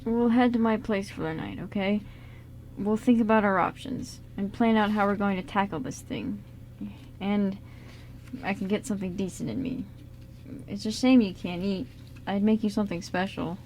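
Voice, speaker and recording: A woman's voice: mid-range voice, normal speed, a little mellow, natural voice. Radio play buddy role: kindly, sheepish young guy woman performing, casual delivery, slight background noise. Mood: caring, practical. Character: caring, hungry young man, loyal friend of ghost. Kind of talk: amateur radio drama script reading.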